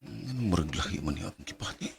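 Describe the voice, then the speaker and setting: A man's voice: deep voice, animated, quick pace, gravelly, faint music behind. A young man playing an earnest, lovestruck suitor who is careful with money, in an amateur radio drama. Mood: pleading.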